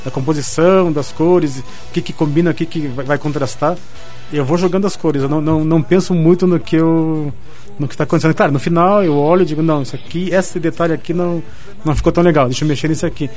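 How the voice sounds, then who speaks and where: A man with a Curitiba accent, a guest illustrator answering radio interview questions in quick, eager bursts full of restarts. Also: on the high side, steady tone, a little mellow, soft background music. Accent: Curitiba Brazilian Portuguese. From Curitiba, Brazil. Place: radio studio.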